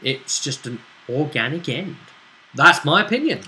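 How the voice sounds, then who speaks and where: A man's voice: a little high, big pitch swings, a little slow, pushed and bright, low-quality recording. A man acting as game master, recapping a tabletop role-playing session in an explanatory, conversational way with frequent tag questions. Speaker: game master and show host.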